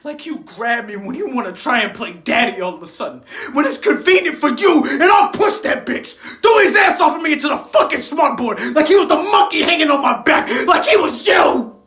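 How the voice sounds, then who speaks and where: A man's voice: sits high, lively intonation, brisk, few pauses, reverberant. A man performing a dramatic stage monologue as an angry, hurt student addressing his absent father, with emotional delivery. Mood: enraged. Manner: emotional, building from quiet hurt to shouted rage.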